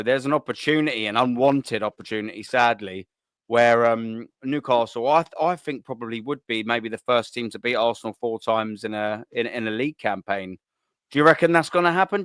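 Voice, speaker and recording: A man's voice: mid-range voice, a bit bright, clean tone, no room sound, clean studio sound. A man hosting a football call-in show, chatting casually with lots of slang, swearing and fillers. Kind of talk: casual live-stream chat.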